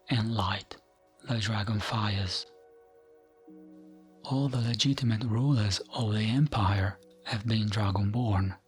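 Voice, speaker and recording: A man softly reading fantasy lore as ASMR in a breathy, often whispered voice, with a gentle, soothing manner and frequent pauses. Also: lowish voice. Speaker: ASMR gaming channel host.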